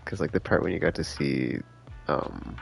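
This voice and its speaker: A man's voice: rumbling low, normal speed, vocal fry, poor audio. A man streaming a game live, chatting casually in a rambling, enthusiastic, slangy way. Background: game music.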